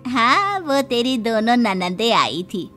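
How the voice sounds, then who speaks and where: A woman's voice: a little high, sing-song, slow pace, fairly bright tone, soft background music, full character voice. A woman playing a motherly older mother-in-law in a narrated family story. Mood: kindly. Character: motherly older mother-in-law, soft but easily swayed.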